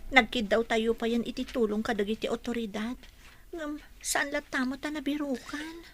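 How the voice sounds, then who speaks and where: A woman's voice: a little high, steady tone, normal speed, a bit bright, natural voice. A woman with an Ilocano accent playing a concerned, gossipy young friend in a radio drama. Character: young woman fretting disapprovingly over a vanished friend. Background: faint music.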